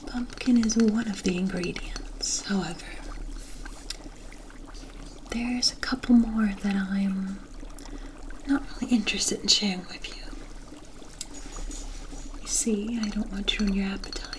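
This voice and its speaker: A woman playing a kindly forest witch in an ASMR roleplay, gentle and reassuring, with formal storybook phrasing. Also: mid-range voice, steady tone, unhurried, pauses often, background noise, natural voice. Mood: gentle, confiding. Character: kindly forest witch brewing a protective potion.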